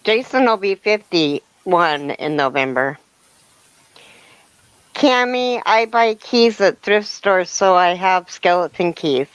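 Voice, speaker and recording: Fifty-something woman, smooth dry voice. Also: fairly low pitch, lively intonation, slow pace, no room sound, low-quality recording. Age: 50s. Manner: chatty, reads out viewer comments. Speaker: crochet channel host. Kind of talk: casual live-stream chat.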